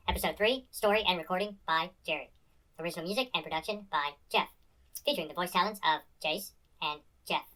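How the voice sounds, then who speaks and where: A woman's voice: on the low side, fairly bright tone, poor audio. A woman reading a scripted disclaimer and credits as an announcer.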